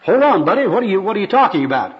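A man's voice: a little high, lively intonation, fairly quick, lightly acted. A man reading a skit, voicing a wisecracking, skeptical down-and-out bum with slangy, sarcastic talk. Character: bleary, dejected skid-row bum, wary and wisecracking. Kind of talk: amateur skit read aloud. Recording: dull, lo-fi recording.

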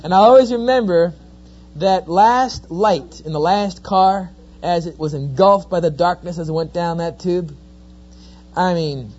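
A man's voice: high voice, breathy edge. A middle-aged man with a New Jersey accent, giving a sermon-style talk full of folksy, joking stories. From New Jersey, USA. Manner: folksy, joking, lively storyteller. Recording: dull lo-fi recording.

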